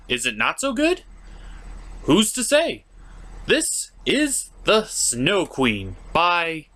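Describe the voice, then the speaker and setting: A man's voice: on the high side, fairly bright tone. A man hosting a comedic film review, narrating with theatrical, exaggerated delivery. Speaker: show host and reviewer.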